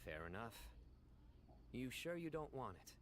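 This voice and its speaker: A man voicing a stoic, solemn young warrior in an anime video game, speaking in a deadpan, grandiose way. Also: medium pitch, unhurried, very noisy. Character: stoic, solemn young male warrior who takes games very seriously. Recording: loud game music behind.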